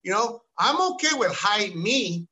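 A man holds forth on a podcast. His voice is high for a man and bright, almost sharp. He talks with emphatic energy and slips into Spanish. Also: slow pace. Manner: animated, emphatic, mixes Spanish into English, lots of "you know". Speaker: podcast host. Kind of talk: casual podcast chat.

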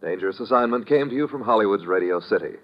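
Animated American old-time radio announcer man. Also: lowish voice, quick pace. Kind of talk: 1950s radio announcing, scripted credits. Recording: muffled vintage radio recording.

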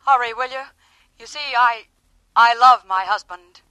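An actress playing an anxious, urgent wife in pleading 1940s radio drama style. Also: female voice, fairly high pitch, a little slow, natural voice, low-quality recording.

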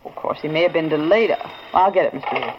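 An actress playing an efficient, concerned office secretary in a 1940s radio drama, polite and earnest. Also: female voice, sits low, quick pace, background noise, lightly acted. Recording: dull vintage radio recording.

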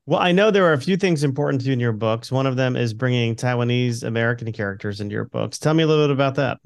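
Gravelly-voiced male podcast host. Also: medium pitch, quick pace. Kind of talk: interview questions.

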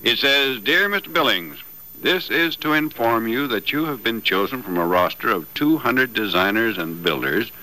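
A man's voice: medium pitch, animated, moderate pace, sharp tone, rough recording. A man acting a modest, wry builder in an old-time radio drama, folksy, dry and musing, on a vintage broadcast recording. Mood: wry, deliberate. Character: modest, wry builder in a wheelchair, skeptical and teasing.

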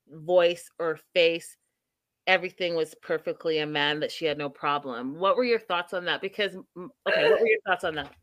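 A woman's voice: fairly low pitch, sharp tone, smooth, dry recording. A woman hosting a casual live discussion, thinking aloud with many fillers and restarts. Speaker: host.

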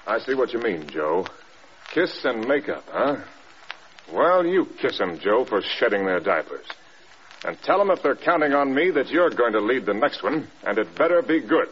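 A man plays a stern and defiant bomber commander in an old radio drama. His mid-pitched voice swings animatedly through brisk, clipped military lines. The recording is muffled, with a faint hiss. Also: big pitch swings, natural voice. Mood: brisk, commanding. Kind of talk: 1940s-50s radio drama acting.

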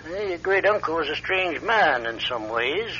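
A man's voice: on the high side, expressive, normal speed, pushed and bright. An actor playing a folksy, cagey but courteous local man in a radio drama, talking in clipped phrases. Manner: clipped, folksy, drops sentence subjects. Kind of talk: classic radio drama acting. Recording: dull, band-limited radio sound.

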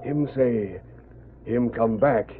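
Man acting a grave, halting old-radio chief. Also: mid-range voice, slight background noise, lightly acted. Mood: awed. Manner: halting broken English, grave pronouncements. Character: solemn Native chief in an old western radio serial. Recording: tinny, band-limited old radio recording.

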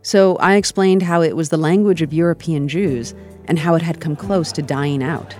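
An American woman giving scripted travel narration in a calm, reflective storytelling manner. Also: deep voice, moderate pace, soft background music. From United States.

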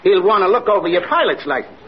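Small-time con man, extremely high male voice, glib and over-friendly, vintage radio comedy, muffled sound. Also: very animated, fast talker. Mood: falsely encouraging. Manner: glib, hustling patter. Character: fast-talking small-time male swindler, falsely chummy. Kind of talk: 1940s-50s radio comedy acting. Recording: muffled old radio with clear noise.

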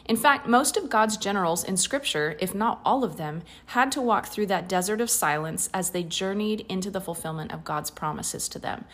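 Woman, podcast host, earnest, conversational, mid-pitched voice. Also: lively intonation, normal speed, few pauses. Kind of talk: faith podcast intro and interview.

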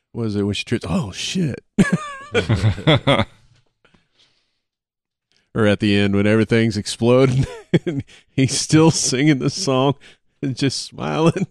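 A man's voice: medium pitch, huge pitch swings, slow, long pauses, a little mellow, dry recording, studio quality. A man co-hosting a casual chat, talking informally with the odd swear word. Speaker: podcast co-host.

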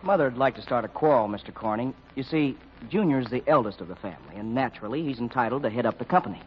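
An actor playing a naive, eager-to-please son of a business family in an old-time radio mystery. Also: male voice, mid-range voice, lively intonation, fast, natural voice. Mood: placating. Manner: eager, conciliatory. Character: cheerful, placating, naive son of a publishing family. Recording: dull vintage radio recording with hiss.

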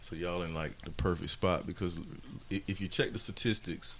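A very deep-voiced man in his forties speaks as an entrepreneur guest. He explains things in a steady, measured way. A faint hiss runs underneath. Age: 40s. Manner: measured, advice-giving. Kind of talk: casual chat.

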